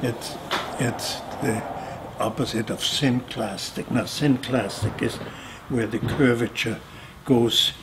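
An older man talks very slowly and deliberately, pausing and restarting as he explains his ideas. His voice sits in a middle pitch, and steady background noise hums under it. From Austria. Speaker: sculptor. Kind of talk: reflective interview answers. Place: indoor studio room.

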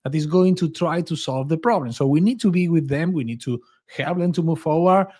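Higher-pitched man presenting a coding tutorial. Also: animated, fairly quick, darkish tone, husky. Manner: enthusiastic, informal. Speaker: software developer advocate, presenter. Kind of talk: screen-share coding tutorial, casual narration. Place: small home office indoors.

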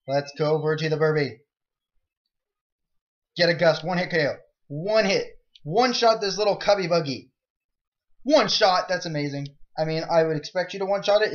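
A young man giving rambling, jokey commentary over a game he is playing, breaking into excitable outbursts. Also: fairly high pitch. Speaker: let's play gamer. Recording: dull lo-fi mic.

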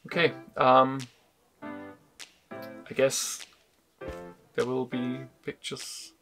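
A man in his thirties, a sailing vlogger, explaining a repair to camera in a casual, halting way with many fillers, speaking in a wooden boat cabin. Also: mid-range voice, little pitch movement. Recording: music playing behind.

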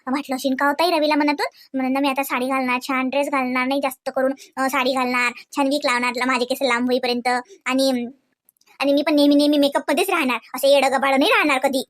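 A woman voicing an insecure, excitable young wife in a comedy cartoon, chatty and complaining, with a Varhadi Marathi accent. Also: sits high, fast, close to an everyday voice. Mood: upset, insecure.

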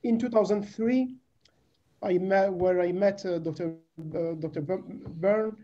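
Bright, halting man introducing a speaker. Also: high voice. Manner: halting, with repeated phrases. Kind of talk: formal introduction, reading a biography. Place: indoor video call. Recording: dull lo-fi video call audio.

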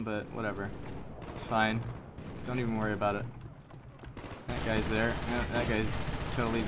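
A man giving live commentary on his shooter gameplay, chatty and talking to himself, sometimes yelling at the game, his voice getting strained. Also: mid-range voice, background noise. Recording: home mic under loud game gunfire.

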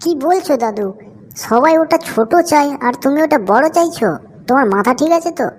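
Cheeky young matchmaker from a comedy cartoon, acted out, kinda high, slangy n wheedling, noisy audio. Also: expressive, brisk. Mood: teasing surprise. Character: cheeky, foul-mouthed young matchmaker hustling an old customer. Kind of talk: comic cartoon voice acting.

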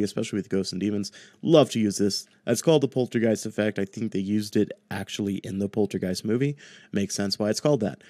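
A young man with a fairly low voice walks through a screen-recorded tutorial in an informal, chatty style. He is on a close desk mic in a small room at home, and the sound is dry. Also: a little mellow, no room sound. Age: 20s. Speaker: audio tutorial host. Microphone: close desk microphone on boom arm.

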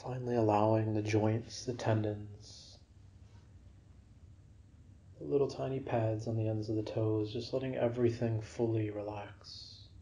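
A man guiding a yoga nidra relaxation for sleep in a gentle, unhurried, soothing manner. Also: on the low side, little pitch movement. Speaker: meditation guide.

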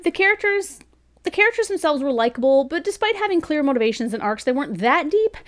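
A woman's voice: high-pitched, sing-song, fast talker. A woman in her thirties giving a casual, chatty review as host, informal in manner and talking straight to the camera. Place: small indoor room lined with bookshelves.

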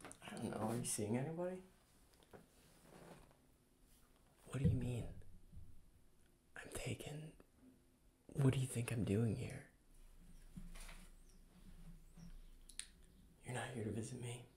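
Hushed, creaky young tennis rival, acted by a man. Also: mid-range voice, normal speed, long pauses, close to an everyday voice. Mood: probing, guarded. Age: young adult. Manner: hushed, understated, teasing. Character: two young tennis rivals sparring quietly over a girlfriend. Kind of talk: hushed ASMR scene reenactment. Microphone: desk microphone, close. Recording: clearly noisy close-mic recording, crinkling.

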